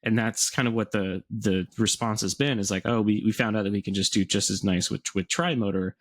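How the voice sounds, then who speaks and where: Lowish-voiced man explaining things casually. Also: fairly even intonation, fairly quick. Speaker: podcast co-host.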